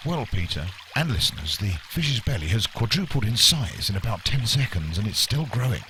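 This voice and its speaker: An actor playing an earnest, pedantic and squeamish broadcaster, describing things hesitantly for a mock radio documentary. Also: male voice, fairly low pitch, very animated, a little fast, a little hiss or hum. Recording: very loud jungle animals and water.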